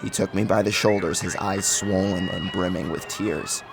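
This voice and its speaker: Low, gravelly man narrating horror, intense. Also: fairly even intonation, normal speed, background noise. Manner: intense, emotive delivery. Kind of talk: dramatic first-person horror story narration. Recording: music behind the voice.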